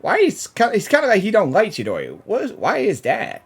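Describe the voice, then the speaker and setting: A woman giving excited, casual commentary as a game reaction host, in a halting, repetitive way. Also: medium pitch, slightly rough.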